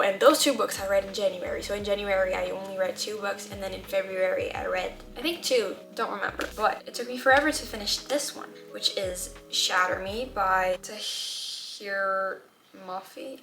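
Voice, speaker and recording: Young woman, english not her first language, chatty book vlog from home, bright voice, echoey room. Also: medium pitch. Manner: chatty and candid, many fillers. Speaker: book vlogger. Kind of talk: casual chat to camera.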